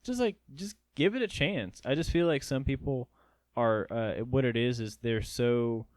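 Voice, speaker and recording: Young man, rambling podcast co-host, smooth tone, dry close sound. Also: medium pitch, normal speed, no echo. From North Georgia. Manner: rambling, many restarts and fillers. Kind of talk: casual chat.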